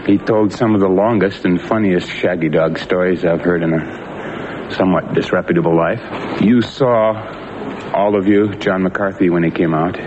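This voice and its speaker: A man giving a heartfelt, halting public tribute. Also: low voice, highs cut off, background noise. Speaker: released hostage. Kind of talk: emotional public statement.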